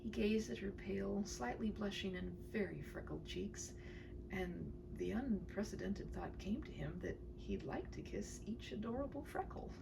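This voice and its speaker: A woman reading a story aloud in an expressive, dramatic way, tender and hushed, with pauses for effect. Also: mid-range voice, gravelly, recorded from a distance, noisy background. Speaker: storyteller reading her own short story.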